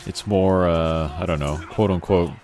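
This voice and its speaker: A man's voice: sits low, steady tone, normal speed, darkish tone. A man hosting a gaming channel, giving casual, rambling and hesitant playthrough commentary. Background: game music.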